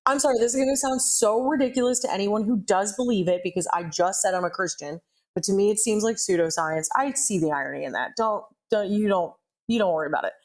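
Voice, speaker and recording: A woman's voice: medium pitch, fast talker. A woman co-hosting a podcast in casual chat, earnestly explaining her views and clarifying herself. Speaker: podcast co-host.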